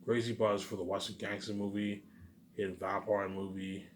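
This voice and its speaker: A man with a fairly low voice rambles casually, as if vlogging to his followers. He muses aloud and circles back to repeat his phrases. The amateur recording carries faint background noise. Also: flat delivery, normal speed. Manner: repetitive, musing aloud.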